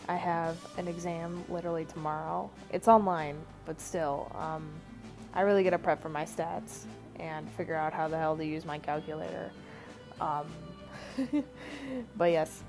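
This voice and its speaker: Congested low-voiced young woman rambling. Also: level tone, normal speed, music in the background. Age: young adult. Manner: rambling, chatty, lots of fillers and swearing. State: congested, nasal. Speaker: art vlogger. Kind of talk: casual voice-over commentary. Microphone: phone.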